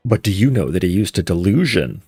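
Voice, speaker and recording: A man's voice: low voice, expressive, fairly quick, a little mellow, husky. A man streaming a game, chatting casually and reacting aloud to the story. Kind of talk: live game commentary. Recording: stream with game music behind.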